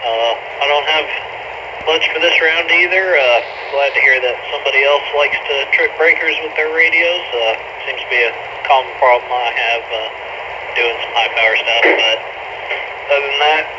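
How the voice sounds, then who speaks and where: Male ham operator, casual, average pitch, radio transmission with plenty of noise. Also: normal speed. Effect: two-way radio transmission. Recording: muffled, clearly noisy ham radio signal.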